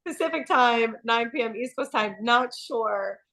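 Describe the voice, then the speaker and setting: A woman co-hosting a casual TV aftershow chat, a bit nasal from a cold, animated and gossipy with frequent laughter. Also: on the high side, measured pace, gravelly. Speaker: co-host.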